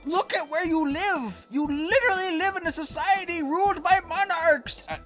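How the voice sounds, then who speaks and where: Woman voicing a high-pitched, brash cartoon pony. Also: normal speed, a bit bright, faint music behind. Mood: indignant. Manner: argumentative, slangy, casual. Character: brash, hot-headed young female cartoon pony. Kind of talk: animated parody voice acting.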